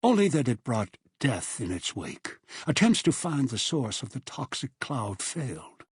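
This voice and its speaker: A man's voice: mid-range voice, sing-song, unhurried, husky, no room sound, studio quality, heavily acted. A man narrating a grim video game epilogue in a solemn, scripted storytelling style.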